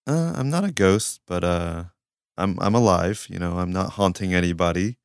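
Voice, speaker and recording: Hoarse, hesitant low-voiced guest, a man. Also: moderate pace, no echo, clean studio sound. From Georgia, US. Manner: hesitant, filler-heavy. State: hoarse, losing his voice. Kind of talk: casual chat. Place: studio.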